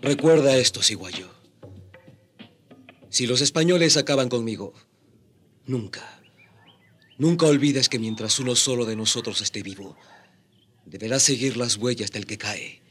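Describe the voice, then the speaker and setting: An actor in a radio drama playing a proud, defiant young Indian rebel leader, speaking in a solemn, declamatory way. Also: male voice, medium pitch, unhurried, pauses often, fairly bright tone, husky, faint music behind.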